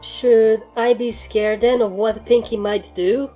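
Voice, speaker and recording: A woman's voice: fairly high pitch, fairly even intonation, takes it slowly, warm tone, soft background music, pitched above the performer's own voice. A woman voicing an anxious pony with a dry, wry manner in a fan fiction story reading. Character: anxious, sarcastic pony with a wary streak.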